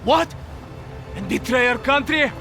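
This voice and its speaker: An actor playing a zealous, stern Soviet army captain in a video game, forceful and indignant, with noise behind him. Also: male voice, very high voice, unhurried, over music.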